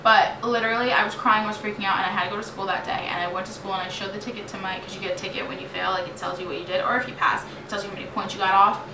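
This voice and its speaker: A late-teen American woman chats like a vlogger telling stories to viewers, animated and dramatic in her delivery. Her pitch is average for a woman. She is recorded away from the mic in an echoey room, with clear noise. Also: racing, hardly any pauses. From New York State, United States. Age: late teens. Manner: animated, chatty, dramatizes remembered exchanges. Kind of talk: casual storytime vlog chat. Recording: roomy echo, distant mic, clearly noisy.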